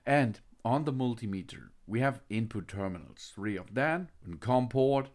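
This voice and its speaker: A man narrating an unboxing and walkthrough of a tool kit, with non-native phrasing and hesitant fillers. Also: on the low side. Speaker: product reviewer.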